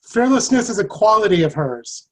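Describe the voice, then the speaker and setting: A man playing a loyal, devout medieval French soldier in a play reading, earnest and formal with a husky voice. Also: high voice, big pitch swings, moderate pace, rough recording. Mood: wary caution. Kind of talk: stage play table read.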